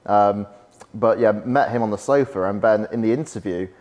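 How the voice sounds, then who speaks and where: A young man with a softened Yorkshire accent and a lowish voice talks as a podcast guest. His speech is chatty and anecdotal, full of "like" fillers. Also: normal speed, highs cut off. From West Yorkshire, England. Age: young adult. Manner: chatty, storytelling, frequent "like" fillers. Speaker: podcast guest, startup founder. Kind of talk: casual interview chat.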